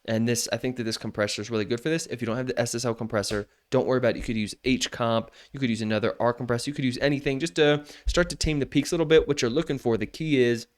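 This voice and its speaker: A man's voice: medium pitch, steady tone, rapid-fire, few pauses. A man teaching vocal mixing in a casual, loose tutorial from a home studio, often restarting his sentences as he explains. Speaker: mixing instructor. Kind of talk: casual screen-share tutorial.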